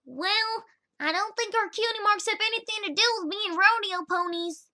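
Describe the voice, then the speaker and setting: A woman's voice: extremely high pitch, normal speed, pushed and bright, soft background music, full character voice. A woman voicing a small, childlike, matter-of-fact young filly in an audiobook. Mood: chatty. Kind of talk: dramatized audiobook character acting.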